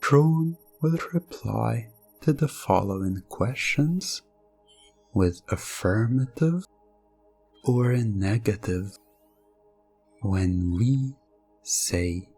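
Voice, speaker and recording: Very slow, husky male hypnosis narrator. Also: fairly low pitch, a little mellow, no room sound. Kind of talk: slow scripted second-person hypnosis ASMR narration. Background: music.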